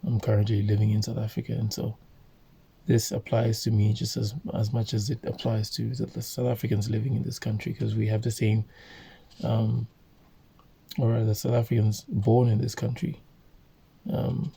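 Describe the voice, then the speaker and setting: Host type: man from Zambia, low flat delivery, husky, reads aloud and stumbles, restarts a lot. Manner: halting, many fillers and self-corrections. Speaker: host reading a book aloud. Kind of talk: informal reading and commentary.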